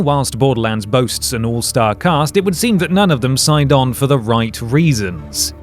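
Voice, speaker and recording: A British man reading scripted narration as a presenter, in a wry, sardonic manner. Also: medium pitch. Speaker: video essay presenter.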